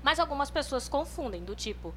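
A young woman from northern Brazil, co-hosting a casual group chat and explaining points in a relaxed, chatty way. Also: sits high, a little fast, a little hiss or hum.

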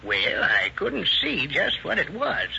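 Creaky, folksy neighbor in old-time radio. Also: male voice, fairly high pitch, huge pitch swings, slow, sharp tone, exaggerated voice. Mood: folksy, confiding. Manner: rustic, ungrammatical, rambling storytelling. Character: folksy, talkative male neighbor witness, cautious and observant. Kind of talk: 1940s radio acting. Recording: old lo-fi radio recording with hiss.

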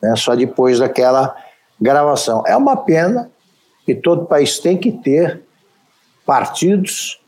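A man's voice: on the high side, expressive, measured pace, a little mellow, breathy edge, low-quality recording. A man with a Brazilian accent hosting a political talk show, giving opinionated, wry commentary. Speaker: show host.

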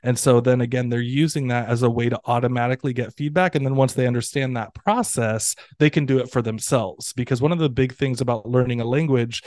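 A man speaks as a podcast guest, loosely and conversationally, full of fillers, restarts and little acted-out quotes. His voice sits at an ordinary middle pitch. The recording is dry and close, with no room sound. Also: fairly quick, few pauses, darkish tone, no room sound. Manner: rambling, many fillers and restarts, quotes others in his stories. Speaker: ESL professor and guest. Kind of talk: casual interview talk.

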